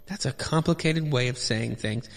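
A man's voice: mid-range voice, moderate pace, vocal fry. A man hosting a podcast, giving joking, rambling commentary with mock indignation as he reads a comic book aloud. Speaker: podcast host.

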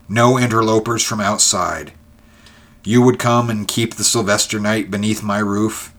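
A man's voice: lowish voice, fairly bright tone, voice pushed up, natural voice. An embittered male feudist from a storybook, read by a man. He speaks with dramatic intensity and an impatient edge. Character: George, embittered male feudist turned grudging friend, impatient. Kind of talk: dramatic storybook character reading.

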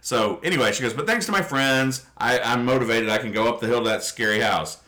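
A man's voice: mid-range voice, a little fast, sharp tone. A man hosting a podcast, chatting casually and explaining things with mock-serious care. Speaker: podcast host. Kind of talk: casual chat, describing comic strips.

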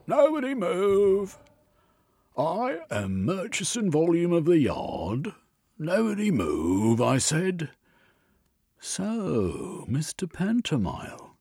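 Storybook inspector read by a man: loud, mocking bully, husky, dry close sound. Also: sits high, wildly swooping pitch, slow, dry recording, voice pushed up, exaggerated voice. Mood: barking. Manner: sneering, mocking, shouting. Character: blustering bullying male police inspector.

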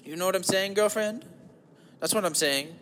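Dude doing a goofy flirty german guy, high voice, thick silly accent, creepy and campy, rambly podcast bit. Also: moderate pace, a bit bright, slight background noise. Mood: flirty, eager. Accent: exaggerated German.

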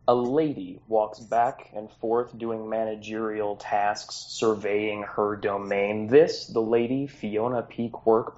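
A man runs a tabletop game, narrating with playful, jokey asides. His voice sits a little low, and the recording sounds dull and lo-fi. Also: a little mellow. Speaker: game master.

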